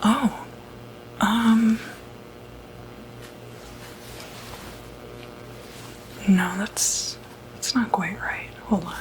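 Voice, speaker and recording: Over clear background noise, a calm, quietly puzzled doctor played by a woman whispers in a breathy ASMR roleplay voice. The delivery is slow and halting, with murmured hesitations, at a medium female pitch. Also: close to an everyday voice, rough recording. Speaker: ASMR performer.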